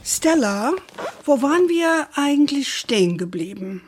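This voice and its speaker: A woman playing a fond older grandmother who tells stories at an unhurried pace in a children's radio play. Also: high-pitched, sing-song, a little hiss or hum. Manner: unhurried, fond, sprinkles English endearments.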